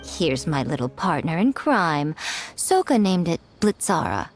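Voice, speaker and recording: A woman's voice: sits low, sing-song, unhurried, faint music behind, full character voice. A woman playing a sly, elegant, teasing editor in a video game, playful and self-assured. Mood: poised, teasing. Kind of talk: video game character acting.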